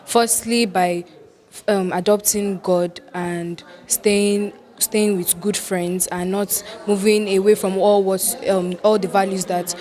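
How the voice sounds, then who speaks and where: Flat-toned teenage girl. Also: medium pitch, takes it slowly. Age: teens. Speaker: student interviewee. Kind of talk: interview answer.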